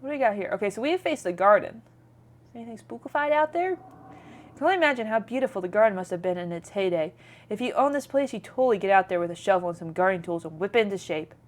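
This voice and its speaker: A woman's voice: mid-range voice, lively intonation, quick pace. A woman streamer reading game narration aloud with playful, chatty casual commentary.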